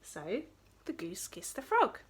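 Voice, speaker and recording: A woman storyteller giving an expressive reading of a children's story. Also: mid-range voice, slow pace, slightly rough.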